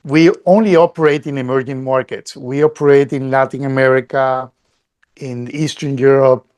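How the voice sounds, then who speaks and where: A man's voice: mid-range voice, fairly even intonation, normal speed, a little mellow. A middle-aged man from Colombia, a company CEO answering interview questions earnestly, often restarting and repeating words.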